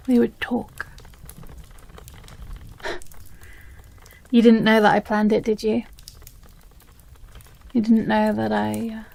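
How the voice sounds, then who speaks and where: A woman with a British accent playing an obsessive, possessive, unstable stepsister in a dramatic audio roleplay, speaking haltingly with heavy pauses. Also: medium pitch, gravelly, dark tone, heavily acted. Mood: wistful, confiding.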